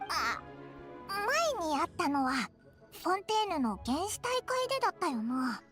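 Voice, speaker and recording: A woman's voice: high voice, big pitch swings, quick pace, fairly bright tone. A woman voicing a tiny floating childlike companion in a game, chatty and boastful. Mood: excited. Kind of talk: game voice acting. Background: game music.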